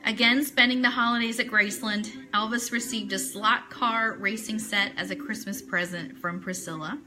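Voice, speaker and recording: A woman's voice: mid-range voice, fairly even intonation, moderate pace, bright, forceful tone, rough recording. An American woman hosting an online radio show live, chatting with viewers and reading items aloud in a chatty manner. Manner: chatty, greets viewers by name. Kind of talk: live-stream chat mixed with reading aloud.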